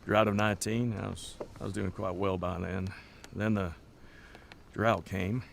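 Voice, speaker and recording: A tired rancher with a low, gravelly voice. He talks in a folksy, matter-of-fact way, like a stoic man in a naturalistic audio drama, with faint noise behind him. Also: fairly even intonation, a little slow. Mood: plain, resigned. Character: weary, plainspoken Montana rancher hit by drought.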